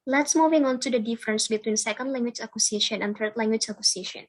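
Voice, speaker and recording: Woman giving a formal, slightly high-pitched presentation. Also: fast talker. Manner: formal, reading from prepared text. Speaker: student presenter. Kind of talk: scripted academic presentation.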